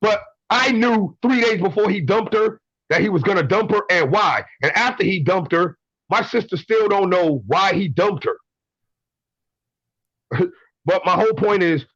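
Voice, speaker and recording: Man, twenties, caller, blunt storytelling, stumbles over words, higher voice, dry dull audio. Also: a bit bright, no echo. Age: 20s. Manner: blunt, swears, repeats words while telling stories. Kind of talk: casual call-in story.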